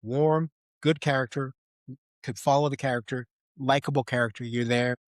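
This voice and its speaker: A man's voice: mid-range voice, fairly even intonation, normal speed, no room sound. Casual man hosting a podcast, close dry sound. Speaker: podcast host. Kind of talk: casual film discussion.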